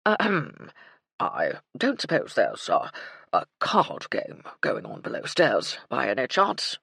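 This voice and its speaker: A woman doing a loud, blustering old colonel. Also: lowish voice, big pitch swings, normal speed, husky, no echo, clean studio sound, full character voice. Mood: conspiratorial. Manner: bluff, clipped, hearty old-fashioned slang. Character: blustering old male English colonel, tipsy. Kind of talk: audiobook character voice.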